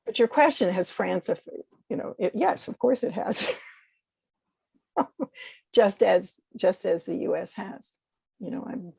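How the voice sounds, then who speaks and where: An American woman speaking as a guest poet in a reflective interview, halting and searching for words as she corrects herself. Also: medium pitch, expressive, vocal fry, a little mellow, breathy edge, no room sound. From United States.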